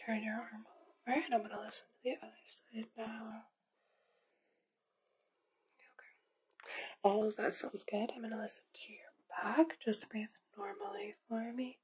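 A young woman whispering in an ASMR medical roleplay, giving hushed, soft instructions step by step as an examiner. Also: on the high side, flat delivery, normal speed, long pauses, a little hiss or hum. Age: young adult. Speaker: ASMR performer role-playing a medical examiner. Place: small indoor studio with dark backdrop.

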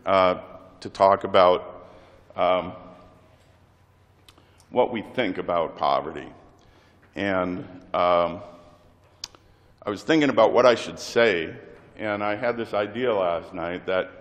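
A middle-aged man with a slight cold opens a parish workshop in a hesitant, halting way, with many fillers. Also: low voice, fairly bright tone. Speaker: volunteer group president. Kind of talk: workshop opening and group prayer. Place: large parish hall. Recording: long hall reverb.

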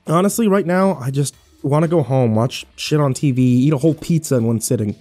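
A man acts out a worn-out young survivor in a horror audio drama. His voice is mid-pitched and mellow, with an informal, sardonic delivery. Faint music runs underneath. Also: a little fast, close to an everyday voice. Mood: deadpan, exasperated. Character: weary, sarcastic young man, survivor of a nightmare. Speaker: actor and narrator. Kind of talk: first-person audio drama narration and dialogue.

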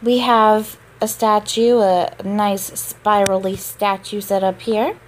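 A woman's voice: medium pitch, level tone, takes it slowly. A woman who just woke up, giving a casual voice-over tour of her game apartment, chatty and drawn out with small laughs.

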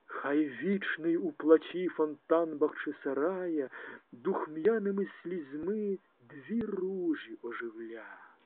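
Slow solemn man reciting poetry, phone-like sound. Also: fairly high pitch, lively intonation, no echo. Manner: solemn, declamatory, with hushed phrase endings. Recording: tinny phone-like narrow band, dry.

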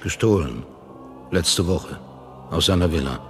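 A man's voice: low-pitched, expressive, measured pace, background noise. An actor playing a brooding, skeptical man in a radio drama.

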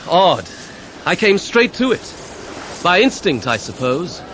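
An actor playing a suave, genial young gentleman with an upper-class English accent in a radio drama. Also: high voice, very animated, takes it slowly, slight background noise, heavily acted, rough recording. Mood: musing. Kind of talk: full-cast radio drama acting.

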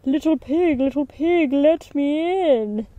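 Woman putting on a loud, blustering hungry storybook wolf. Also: high-pitched, no room sound, pitched above the performer's own voice. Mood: coaxing, demanding. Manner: loud, drawn-out threats and taunts.

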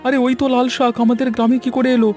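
A man voicing an excitable, nosy young villager who calls out in astonishment in a cartoon story. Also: very high voice, racing, faint music behind. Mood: excited. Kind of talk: Bengali cartoon story dialogue.

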